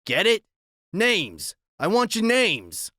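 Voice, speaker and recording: A reader voicing a tough middle-aged male biker gang boss who talks in a quiet, bullying, menacing way. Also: mid-range voice, wildly swooping pitch, slow pace, no room sound, clean studio sound, pitched above the performer's own voice, heavily acted.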